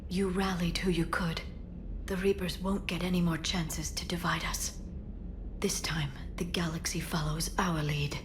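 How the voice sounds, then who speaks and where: A woman playing a gentle, steadfast comrade in a video game, speaking in a hushed, soothing way. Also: fairly low pitch, level tone, unhurried, background noise, close to an everyday voice. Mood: tender, reassuring. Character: gentle, reassuring female comrade, steadfast and devoted.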